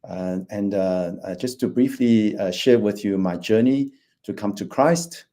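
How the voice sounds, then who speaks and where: A man in his fifties, originally from Taiwan, speaks over a video call as a financial planner giving a presentation. His voice sits low and sounds soft and mellow. He hesitates often, with many fillers and restarts. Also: unhurried. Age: 50s. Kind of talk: online presentation.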